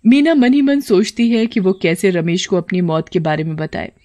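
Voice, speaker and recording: A woman's voice: fairly low pitch, expressive, normal speed, warm tone. Woman narrating a cartoon story.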